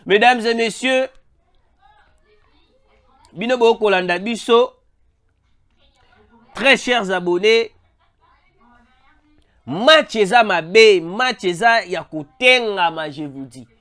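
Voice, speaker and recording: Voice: mid-range voice, takes it slowly, long pauses. An online news commentator with a Congolese French accent and a head cold, animatedly addressing viewers in a voice-over. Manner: animated, repeatedly calls out to viewers, mixes in Lingala. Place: voice-over over a still image.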